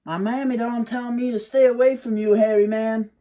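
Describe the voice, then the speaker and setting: A man voicing a frightened young country boy with a high, twangy drawl. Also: steady tone, moderate pace, warm tone. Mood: defiant.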